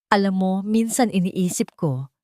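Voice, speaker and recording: A woman's voice: medium pitch, animated, measured pace, darkish tone, dry recording, clean studio sound, close to an everyday voice. A woman voicing a scheming, bitter socialite in an audio story, arrogant and mixing Tagalog with English.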